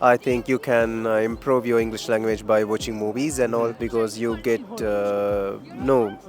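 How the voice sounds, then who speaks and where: Relaxed young Sri man, low voice. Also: normal speed. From Sri Lanka. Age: young adult. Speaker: medical student.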